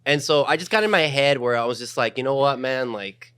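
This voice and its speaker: A man's voice: medium pitch, brisk, a bit bright, clean tone. A man in his thirties, a podcast guest chatting in an easygoing, laid-back way. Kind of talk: casual podcast chat.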